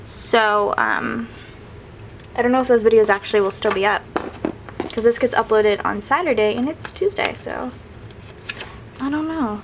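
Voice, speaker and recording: A woman's voice: on the high side, normal speed, long pauses, background noise. Chatty sniffly young woman vlogger. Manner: chatty, rambling, upbeat. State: sniffly from crying. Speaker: planner vlogger. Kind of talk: casual craft vlog chat.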